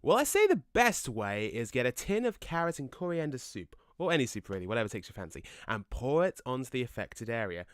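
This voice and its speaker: Male actor as cheeky ghost, audio drama, excitable, chatty, swooping lively pitch, dry close recording. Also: brisk, hardly any pauses, smooth, no room sound, natural voice. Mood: chatty, advising. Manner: animated, exclamatory, chatty.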